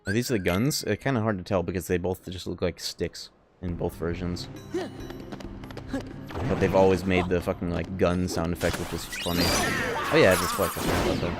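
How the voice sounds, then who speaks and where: Male game streamer, deep register, informal sweary chatter, rambling commentary over very loud music. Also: fairly even intonation, normal speed. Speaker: game livestreamer. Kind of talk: casual gameplay commentary and chat with viewers. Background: loud video game music.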